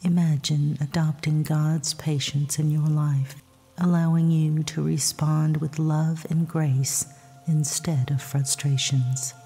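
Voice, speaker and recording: Slow, breathy woman guiding sleep meditation. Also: low-pitched, flat delivery. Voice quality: soft, breathy, husky. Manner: soothing, measured, hushed. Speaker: meditation guide. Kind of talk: scripted guided sleep meditation narration with Bible readings. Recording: long reverb, soft music behind.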